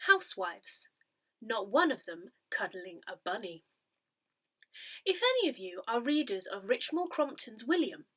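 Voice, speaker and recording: Lady reading out a parish newsletter, bright voice, really up and down, careful phrasing. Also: a little high. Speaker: volunteer reader of an audio newsletter. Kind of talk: reading aloud a church newsletter.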